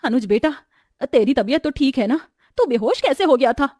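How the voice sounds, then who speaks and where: Lady doing a sharp-tongued bossy mother-in-law from a storybook, high pitched, close dry sound. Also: lively intonation, normal speed, no room sound. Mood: alarmed. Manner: sarcastic, domineering, sharp-tongued. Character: scolding, bossy middle-aged mother-in-law.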